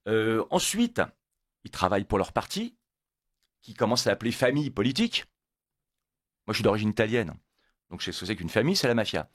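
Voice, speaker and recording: A man's voice: on the low side, very animated, a little fast, long pauses, no echo, studio quality. A man born in Italy, a guest on a radio interview, talking emphatically and repeating words when pressed. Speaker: guest, motorcyclists' federation coordinator.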